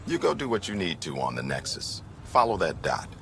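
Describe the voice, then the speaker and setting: An actor playing a plain-spoken, hardworking colony mayor in a space game, modest and down-to-earth. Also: male voice, low-pitched, big pitch swings, brisk, vocal fry, a bit bright, slight background noise, heavily acted. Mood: steady, eager. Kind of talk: video game cutscene acting.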